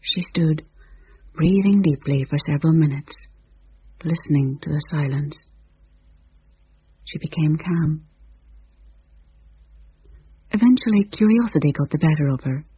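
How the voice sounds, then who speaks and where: A woman with a very breathy, husky voice reads a suspenseful story in hushed tones. The recording is dry and close, with a slightly dull sound. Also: deep voice, unhurried, pauses often, no room sound. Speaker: narrator. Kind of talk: storybook narration.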